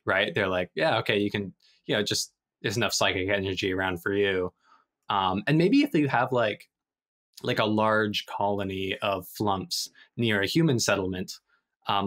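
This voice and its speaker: A man's voice: lowish voice, fairly bright tone. A man on a podcast panel chatting loosely and speculatively, often checking for agreement. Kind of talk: casual chat.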